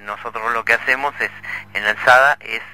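A middle-aged Argentine man co-hosting a radio show, making announcements in a halting way and often repeating himself. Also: medium pitch, fairly even intonation, sharp tone, no room sound, rough recording. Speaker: radio co-host.

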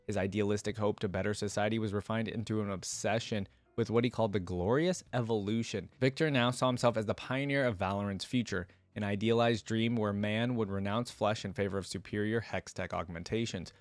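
Low-voiced young man, dry close-miked sound. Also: brisk, hardly any pauses, dry recording. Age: young adult. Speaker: reaction video host. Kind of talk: reading lore aloud with casual reaction commentary. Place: indoors at a desk. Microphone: close desk microphone.

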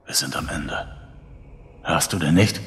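A man voicing a sinister, menacing inner voice that haunts a lone bunker survivor in a horror story, gravelly and at times breathy. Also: a bass voice, fairly bright tone, voice pushed down, full character voice. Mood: grim, weary. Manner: slow, menacing, drawn-out. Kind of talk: German horror audiobook narration. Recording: long reverb over background music.

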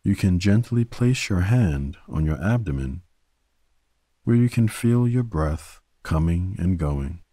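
A man guiding a sleep meditation in a gentle, soothing manner, leaving long pauses between short phrases. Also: deep voice, lively intonation, no room sound, clean studio sound. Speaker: meditation guide. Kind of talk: guided sleep meditation narration.

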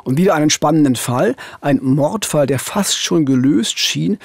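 A man's voice: on the high side, lively intonation, moderate pace, gravelly, breathy edge, no room sound, studio quality. A man in his fifties telling true crime cases in a conversational, narrated podcast style as host. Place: small dark radio studio, indoors. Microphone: studio microphone on a boom arm, close.

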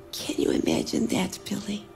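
A woman playing a gentle, sorrowful, loving mother in a game, speaking tenderly in a hushed way. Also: close to an everyday voice.

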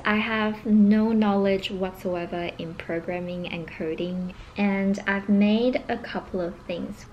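A woman's voice: medium pitch, a little mellow, highs cut off. A woman, chatting casually to camera as a vlogger at home. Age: 20s. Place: indoors at home, living room or bedroom. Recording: noticeable background noise.

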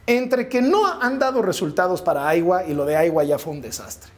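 A man's voice: sits high, expressive, normal speed. A man in his forties with a Mexican accent, giving emphatic political commentary straight to camera and repeating phrases for effect. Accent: Mexican Spanish. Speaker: news commentator. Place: wood-paneled indoor set. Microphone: lapel mic, framed to camera.

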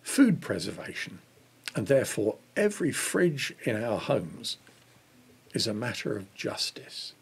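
Older guy in his 60s reading from a church book, measured, raspy n creaky. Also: lowish voice, big pitch swings. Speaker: reader of a church Lent devotional. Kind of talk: reading a devotional book aloud.

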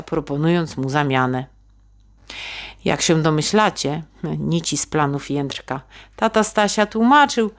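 A woman narrating a children's story in an expressive, dramatic way, addressing young listeners directly. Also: low-pitched.

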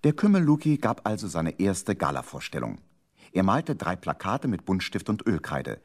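A man's voice: low-pitched, sing-song, moderate pace, soft background music, heavily acted. A man narrating a children's radio play from the 1970s in a storytelling manner.

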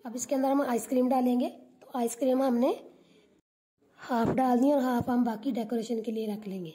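A woman hosting a cooking channel, calmly narrating a recipe step by step as a voice-over. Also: a little high, moderate pace. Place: home kitchen.

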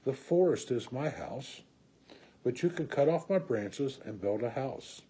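Man reading as a gentle giving tree, slow and mellow. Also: medium pitch, expressive, slightly rough, exaggerated voice. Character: gentle, loving, giving female apple tree.

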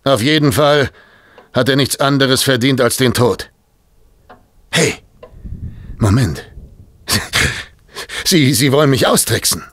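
An actor in a German audio drama playing a bitter, lovesick and possessive gangster with an intense, defiant manner. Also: male voice, medium pitch, slow pace, pauses often, fairly bright tone. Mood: defiant, scornful.

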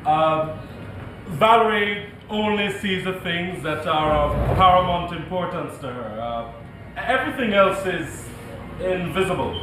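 Casting: mild husband, high voice, measured and hesitant, echoey distant theatre recording. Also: male voice, expressive, noisy background. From Jamaica. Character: mild, educated, evasive middle-class husband. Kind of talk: comedic stage play acting. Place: theatre stage before an audience.

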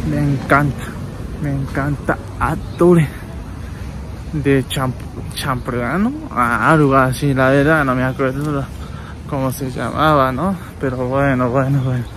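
Young man, Japanese accent, relaxed vlog chat, slow delivery, loud street noise. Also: mid-range voice. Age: young adult. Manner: chatty, repeats words, addresses friends. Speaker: vlogger. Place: city street outdoors, then indoors at a table. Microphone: wireless earbuds, phone at arm's length.

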